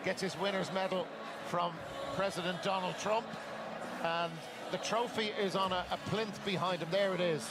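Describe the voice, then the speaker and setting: A male sports commentator with a notably high voice calls a football broadcast. Loud stadium noise surrounds him as he delivers live commentary. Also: steady tone, normal speed. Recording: loud crowd noise, stream playback.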